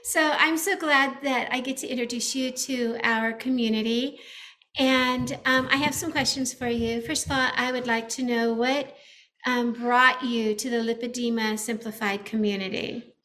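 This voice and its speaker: A woman's voice: a little high, fairly even intonation, normal speed, bright, forceful tone. A woman hosting a community webinar over a video call, introducing and questioning a guest in an earnest, welcoming way. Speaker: webinar host. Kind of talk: hosting an interview.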